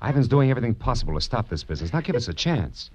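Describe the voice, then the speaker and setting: A man's voice: mid-range voice, sing-song, racing, a little mellow, slight background noise, lightly acted. A man playing a tough, wisecracking American private detective in 1940s radio drama style, with clipped, slangy delivery. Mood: urgent, firm. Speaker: lead actor and first-person narrator. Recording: dull vintage radio recording with music.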